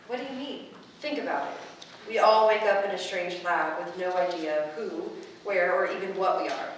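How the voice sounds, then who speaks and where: A woman's voice: on the low side, moderate pace, close to an everyday voice. A woman playing an earnest, skeptical young being who questions things in a formal, challenging way, in a staged play reading. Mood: suspicious. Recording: echoey room, distant mic, background noise.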